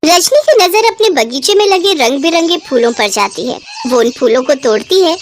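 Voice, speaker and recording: A woman narrating a children's moral cartoon story in a steady, clear way. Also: sits high, moderate pace, slight background noise.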